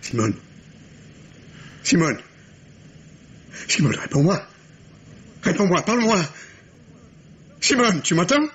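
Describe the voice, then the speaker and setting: A man's voice: high-pitched, sing-song, slow, long pauses, fairly bright tone, heavily acted. An actor playing a distraught, pleading man in a 1950s French radio drama, emotional and urgent, on a dull old recording. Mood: desperate, panicked.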